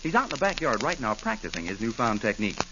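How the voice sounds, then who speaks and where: A man's voice: mid-range voice, sing-song, quick pace, close to an everyday voice. A man working as a radio announcer, narrating a 1940s comedy show in a wry, genial manner. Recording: noisy, muffled old radio recording.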